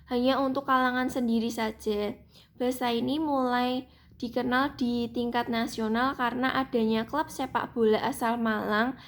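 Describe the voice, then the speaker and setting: A young woman reads her seminar paper aloud in a fairly high voice. She keeps a steady, even reading pace and now and then restarts a word. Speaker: student presenter.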